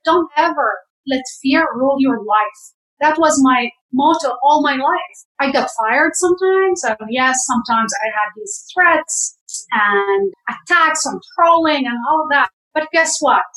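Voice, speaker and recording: A woman's voice: high-pitched, animated, unhurried, bright, forceful tone. A woman from Jerusalem, a guest giving fervent, urging advice in an interview, often repeating herself for emphasis. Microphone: camera. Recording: echoey room.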